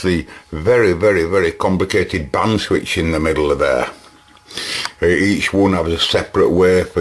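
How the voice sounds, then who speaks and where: A middle-aged man with a Northern English accent gives an informal hands-on technical walkthrough, rambling chattily and asking himself questions as he goes. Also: low-pitched. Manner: chatty, rambling, self-questioning, chuckling asides. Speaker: vintage radio repair hobbyist and presenter.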